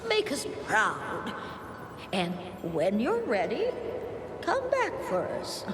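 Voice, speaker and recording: Slow, tender motherly figure. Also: a little high, wildly swooping pitch, heavily acted. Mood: encouraging. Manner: tender, coaxing. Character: kindly motherly older woman, tender, worried, encouraging. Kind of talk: video game voice acting. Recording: echoey long reverb with game music.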